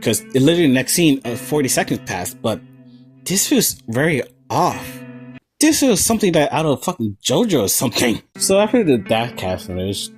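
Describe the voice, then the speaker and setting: A man hosting a video essay, giving casual, slightly stumbling analysis of a horror series. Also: mid-range voice. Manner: informal, stumbling over wording. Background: faint plucked guitar music.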